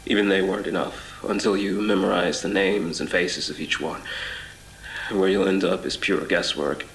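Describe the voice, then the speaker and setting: A haunted, obsessive male wanderer voiced by a man delivers a brooding horror audio drama monologue in a very low, gravelly voice. His measured, halting words ring with echo over music. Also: fairly even intonation, fairly bright tone, slight background noise. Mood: grave, confiding. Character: haunted, obsessive male traveler between twisted worlds, recounting his ordeal. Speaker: voice actor.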